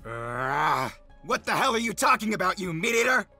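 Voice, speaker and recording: A man's voice: high-pitched, big pitch swings, normal speed, loud music behind the voice. A man voicing an angry, relentless young wolf in a dramatic manga dub, confrontational and growling. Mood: angry, accusing.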